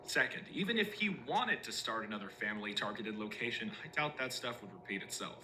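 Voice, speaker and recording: An actor playing a self-assured, persuasive male official in a horror drama, speaking in a deliberate, theatrical and emphatic way. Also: mid-range voice, expressive, sharp tone, slight background noise, low-quality recording. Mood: confident, dismissive. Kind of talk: scripted analog horror drama acting.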